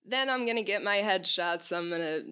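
A woman's voice: mid-range voice, fairly quick, crowd noise. A young woman chatting casually as a podcast guest, self-deprecating and dryly joking. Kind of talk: casual chat.

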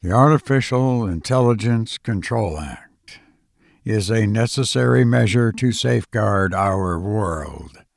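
A man's voice: fairly low pitch, lively intonation, takes it slowly, vocal fry, dry recording, clean studio sound, full character voice. A man voicing an intense, alarmist male witness in an audiobook, warning of catastrophe in grave rhetoric.

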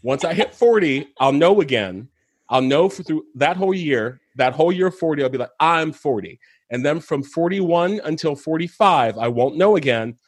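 A man's voice: on the high side, animated, normal speed, dry recording. A man in his thirties, a guest in a casual conversation, talking earnestly and laughing easily. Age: 30s.

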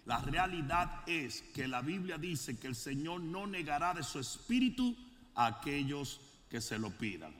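A man preaching as a bishop, moving from calm storytelling to fervent, shouted prayer. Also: fairly high pitch, unhurried, big-room sound. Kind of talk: sermon and prayer.

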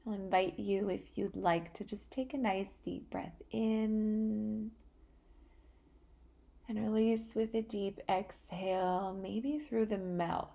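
A woman leads a guided meditation at a very unhurried pace. Her voice is soft-edged and mellow, with a creaky fry in it. She sounds calm and soothing, leaving long pauses between short phrases. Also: medium pitch. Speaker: guided meditation podcast host.

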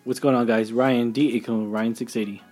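A man's voice: medium pitch, steady tone, moderate pace, a little mellow, faint music behind. A man in his late twenties co-hosting a podcast remotely in casual conversation. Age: late 20s. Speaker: podcast co-host. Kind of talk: casual chat. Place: remote, over a computer call.

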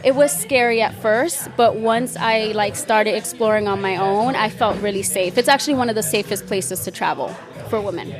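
A woman's voice: mid-range voice, moderate pace, over music, a crowd in the background. A woman with a Dominican accent, a podcast guest, casually and frankly telling a travel story in a bar. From Dominican Republic.

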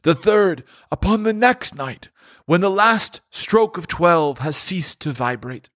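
A man voicing an anguished, mournful old male ghost in chains, declaiming in a drawn-out lament. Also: high voice, expressive, a bit bright, no room sound, clean studio sound, pitched above the performer's own voice, heavily acted. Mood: solemn.

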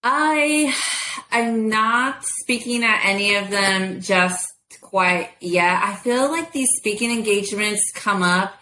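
A woman's voice: mid-range voice, slow, bright, forceful tone, slight background noise, poor audio. A woman whose first language is Spanish, chatting as a guest in an interview with many fillers and easy laughter. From United States. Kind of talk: casual interview.

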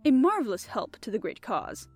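A woman voicing a cool gentleman adventurer in a storybook, affable, placid and mock-innocent. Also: on the high side, sing-song, moderate pace, faint music behind. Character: cool, mischievous gentleman adventurer posing as a secretary.

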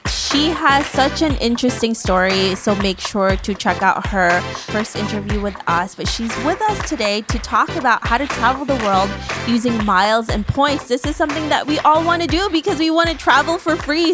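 A woman's voice: high voice, expressive, moderate pace, few pauses. Bubbly woman podcast host over music. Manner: enthusiastic, bubbly. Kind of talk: upbeat podcast hosting and ad reads.

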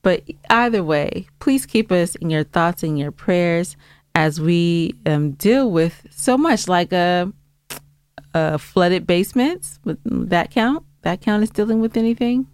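A woman's voice: fairly low pitch, lively intonation, slow, a little mellow, clear, resonant tone, no room sound. A woman co-hosting a podcast in casual, playful, teasing chat. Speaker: podcast co-host.

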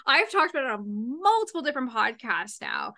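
A woman hosting a casual podcast chat, bubbly and quick to laugh. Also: sits high, big pitch swings, sharp tone. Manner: bubbly, laughs often, talks over others. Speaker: podcast host.

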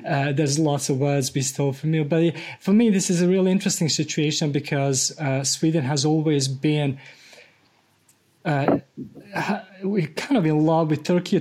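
A man from Bosnia hosts a conversational podcast interview, searching for words with frequent pauses. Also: fairly high pitch, darkish tone. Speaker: podcast host.

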